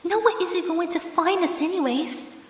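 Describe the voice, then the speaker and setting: A woman's voice: very high-pitched, normal speed. A woman playing a menacing, possessive yandere ex-girlfriend in an ASMR horror roleplay, with sugary endearments and theatrical commands. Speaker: voice actress in audio roleplay. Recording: echoey, long hall-like reverb.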